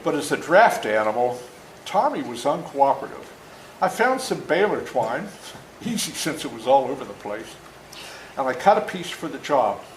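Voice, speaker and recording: An older man reads his own story aloud to children in an animated, folksy way, stopping for asides to explain things. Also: medium pitch, normal speed. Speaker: author reading his own book to schoolchildren. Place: indoor room at a school. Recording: echoey room with faint background noise.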